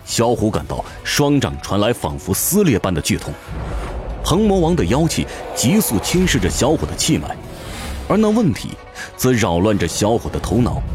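A man narrating a fantasy audio drama from a script, with intense, theatrical storytelling. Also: mid-range voice. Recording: music behind the voice.

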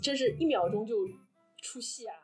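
A woman's voice: a little high, expressive, a little fast. A woman talks through a film as a podcast co-host, with music playing behind her. She is emphatic and opinionated, casual in style, and slips English phrases into her talk. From Shanghai, China.

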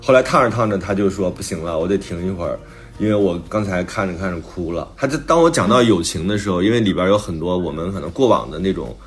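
A man's voice: deep voice, husky. A man talking casually and reflectively on a podcast as host and author, with some playful teasing. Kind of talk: casual chat about own new book.

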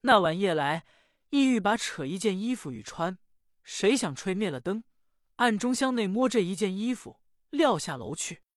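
A woman's voice: mid-range voice, lively intonation, normal speed, no room sound, clean studio sound, close to an everyday voice. A woman voicing a frightened, tearful young noble lady who pleads with wailing cries in a traditional storytelling performance. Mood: pleading.

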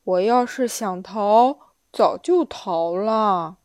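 A woman voicing a wise, calm, philosophical elephant in a children's story, speaking in a slow and deliberate way. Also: mid-range voice, no room sound, studio quality, natural voice. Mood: calm, detached. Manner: slow, deliberate, measured delivery.

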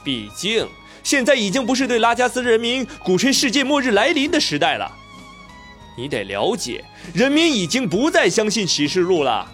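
Guy acting young reporter in a radio play, highish lively voice, courteous but pushing back, faint music. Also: full character voice. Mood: polite, challenging. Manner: measured, courteous, argumentative. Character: polite but defiant younger male investigative journalist. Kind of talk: Chinese radio drama acting.

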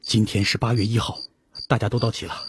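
A man acts out the sober head of a secret meeting in a radio play. His lowish voice is measured and authoritative, with faint noise behind it. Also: flat delivery, close to an everyday voice. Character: sober, watchful leader of secret revolutionary meeting. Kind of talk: Chinese radio drama acting.

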